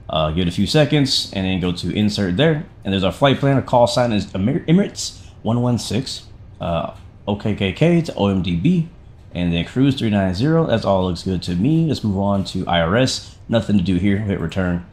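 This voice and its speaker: Low-pitched, slightly raspy man streaming. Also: lively intonation, normal speed, darkish tone. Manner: chummy, slangy, thinking aloud. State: getting over a sickness, voice not fully back. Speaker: flight sim livestream host. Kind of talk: casual stream commentary.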